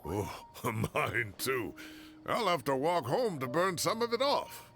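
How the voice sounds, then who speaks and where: A man's voice: mid-range voice, big pitch swings, takes it slowly. A man voicing a chatty, fatherly old male professor who farms, heard as game audio. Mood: cheerful. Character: kindly old male professor, genial farmer. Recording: game audio with music behind.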